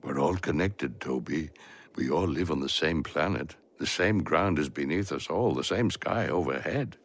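A man playing an ancient talking stone wall in a children's audio drama, gravelly, grumbly but kindly, fussy and expressive. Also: very deep voice, normal speed, exaggerated voice. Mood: wise, unhurried.

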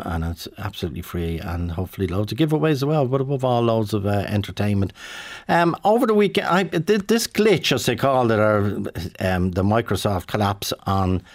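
A Dublin Irish radio host in his sixties, chatting with callers. His voice sits on the low side and sounds close and dry. He talks in a loose, rambling way with plenty of ums and restarts. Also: no room sound. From Dublin, Ireland. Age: 60s. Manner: chatty, many fillers and restarts. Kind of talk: live call-in radio chat. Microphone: studio mic.

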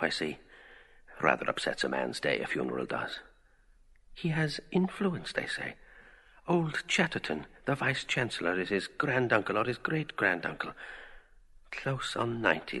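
An actor playing an observant man musing to himself in clipped fragments, husky and gravelly, with reverb, as interior monologue in a radio drama. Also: deep voice, bright, forceful tone, heavily acted.

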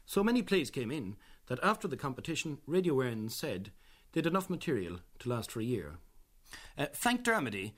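A man presenting a scripted radio documentary in a measured, explanatory way. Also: medium pitch, fairly bright tone.

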